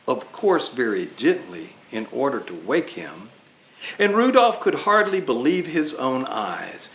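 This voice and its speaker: A man reading a rhyming children's Christmas story aloud with dramatic, deliberate phrasing. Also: medium pitch, fairly bright tone. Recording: roomy echo, faint background noise.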